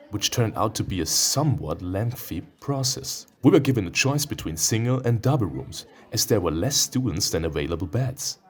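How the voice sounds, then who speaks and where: A man hosting a gaming video in a playful, theatrical way, switching between reading visual novel text aloud and commenting on it. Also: on the low side. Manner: playful, theatrical, prone to sudden outbursts.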